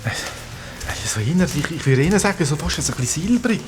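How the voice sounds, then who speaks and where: A man's voice: fairly high pitch, lively intonation, quick pace, background noise, natural voice. A man playing a blunt, impatient boy adventurer with a Swiss German accent in a children's audio drama. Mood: uneasy, puzzled. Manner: blunt, exclamatory, repeats words for emphasis. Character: Chlöpf, bossy, impatient, hot-tempered boy adventurer.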